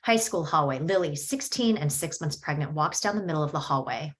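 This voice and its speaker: A woman reading screenplay stage directions at a table read in a steady, descriptive way. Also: low-pitched, fairly quick.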